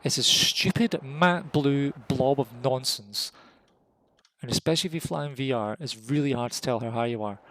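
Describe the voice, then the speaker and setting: A Scottish man giving live, casual, excitable commentary on a competitive flight game match, voice jumping up at the big moments. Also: mid-range voice, moderate pace. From Scotland. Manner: excitable, rises sharply at kills; casual and jokey.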